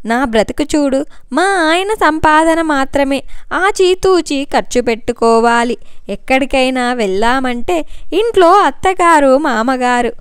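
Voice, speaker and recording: A woman's voice: high-pitched, expressive, measured pace, dry recording, studio quality. A woman voicing an envious, dejected housewife who complains plaintively in an audio story.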